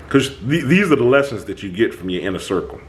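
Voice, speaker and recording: A man hosting his own channel, talking through a personal conflict in an emphatic, animated way. His voice is booming, and he often restarts his sentences. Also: brisk, a little hiss or hum. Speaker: channel host. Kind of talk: reflective self-talk monologue.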